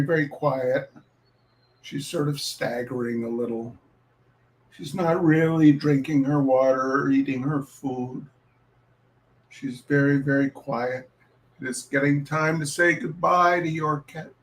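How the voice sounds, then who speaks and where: Guy hosting a reading night, really slow n halting, bit high voiced, wry storyteller type. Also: low-quality recording. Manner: deliberate, halting, with dramatic pauses and repetition. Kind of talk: informal spoken introduction with personal storytelling.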